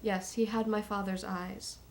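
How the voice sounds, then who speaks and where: An actress as a confiding woman with slight creak. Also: mid-range voice, little pitch movement, a little slow, a little hiss or hum, natural voice. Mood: wistful, hushed. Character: reflective woman recounting meeting her secret half-brother. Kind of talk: naturalistic documentary-interview style acting.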